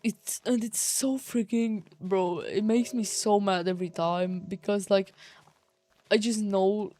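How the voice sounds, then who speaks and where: Mellow-voiced Romanian teenage girl ranting. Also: medium pitch, steady tone, unhurried. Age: teenager, high schooler. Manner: exasperated, slangy, emphatic with swearing. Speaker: vlogger. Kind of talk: casual personal rant.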